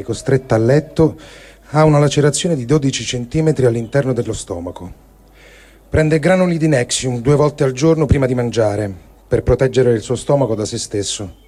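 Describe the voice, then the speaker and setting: A man reads diary entries aloud, slow and weighty in his delivery. His voice is dark and mellow, and his pitch sits in an ordinary middle range. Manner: grave, measured reading. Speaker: reader.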